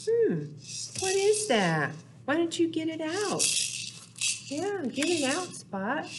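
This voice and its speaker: Slow, lively older woman at children's storytime. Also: high voice, background noise. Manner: simple short phrases with playful sound words. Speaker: children's storytime presenter at a library. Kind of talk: storytime for small children, talking, chanting and reading aloud. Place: small indoor room, seen seated before a wall.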